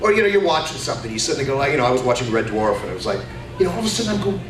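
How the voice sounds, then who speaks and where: Animated man on a convention panel. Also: mid-range voice, rapid-fire. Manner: animated, jokey, slips into character voices. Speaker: voice actor panelist. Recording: echoey hall, far from mic, noisy.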